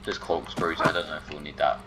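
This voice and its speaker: A deep-voiced man narrating his own gameplay, grumbling to himself as he searches. He mutters and talks back at things in the game. The recording is noisy, with a steady hiss behind his voice. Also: a little fast. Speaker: gameplay commentator. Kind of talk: casual gameplay commentary.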